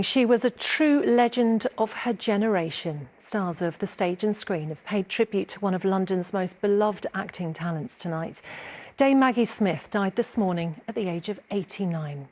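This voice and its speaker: A woman's voice: mid-range voice, a little mellow. A British woman presenting a scripted television news bulletin.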